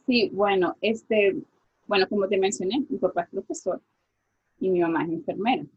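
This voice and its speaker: A woman from Venezuela with a Venezuelan accent, a guest scientist talking casually in an interview and pausing with fillers. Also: medium pitch, breathy edge, muffled recording. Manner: reflective, with many fillers like "este" and "o sea".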